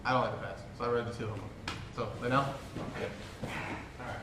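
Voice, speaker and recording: A man's voice: medium pitch, steady tone, fairly quick. A man hosting a casual podcast about jiu-jitsu, chatting loosely and then explaining a technique step by step. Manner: laid-back, explains with fillers like "you know". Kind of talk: casual chat and technique demonstration. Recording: echoey room with background noise.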